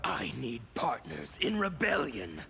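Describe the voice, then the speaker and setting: Zealous revolutionary warrior, gravelly, forceful, very animated pitch, menacing, video game dialogue. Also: male voice, measured pace, slight background noise, heavily acted. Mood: menacing, bitter. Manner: theatrical, menacing, declamatory. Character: fanatical scarred revolutionary warrior, seething, defiant, zealous.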